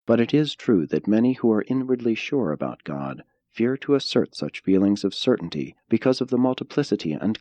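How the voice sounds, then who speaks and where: A man with a deep voice reads formal prose as an audiobook narrator. His delivery is measured and solemn, yet his pitch moves widely through each phrase. The sound is dry and close, with very little room around it. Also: darkish tone, no echo.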